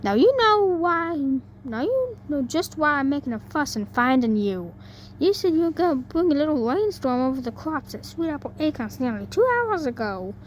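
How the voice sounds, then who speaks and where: A woman's voice: high-pitched, sing-song, moderate pace, voice pushed up. A woman voicing a brash, exasperated but caring cowgirl pony in a story reading, with a folksy, scolding manner. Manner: folksy, scolding, calling out loudly. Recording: noisy amateur home recording.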